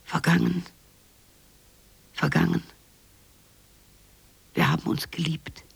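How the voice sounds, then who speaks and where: A woman playing a very old, wistful visionary in a radio drama monologue, husky and gravelly, speaking in incantatory, repeated fragments. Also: on the low side, flat delivery, slow, no room sound, full character voice. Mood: wistful, solemn. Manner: incantatory, fragmented phrases with repetitions.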